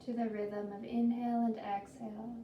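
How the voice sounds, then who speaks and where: A woman with a fairly high, even-toned voice leads a guided meditation. She is gentle and soothing, leaving long pauses between slow phrases. The recording is roomy and distant, with faint noise. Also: muffled recording. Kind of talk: guided body scan meditation.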